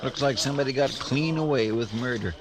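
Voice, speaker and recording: A man playing a loyal, excitable frontier deputy in an old radio western, with a folksy drawl. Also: mid-range voice, a little fast, background noise, lightly acted. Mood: curious. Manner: folksy exclamations, lively drawl. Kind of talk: 1950s radio western acting.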